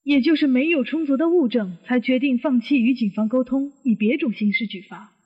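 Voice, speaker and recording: An actress playing a suspicious middle-aged aunt who questions and reasons step by step in a Chinese audio detective drama. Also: female voice, high-pitched, a little fast, husky. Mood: insistent. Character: inquisitive, suspicious middle-aged aunt probing a supposed suicide. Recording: muffled, long hall reverb.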